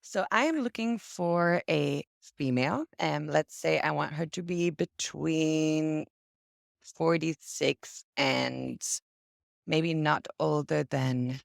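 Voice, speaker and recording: A woman's voice: sits low, takes it slowly, creaky, no room sound, clean studio sound. A woman guest chatting casually on a video call, walking through a casting website and acting out an example search as she goes. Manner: chatty, acts out scenarios as she explains. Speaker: guest demonstrating a casting database. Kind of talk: casual explanatory chat.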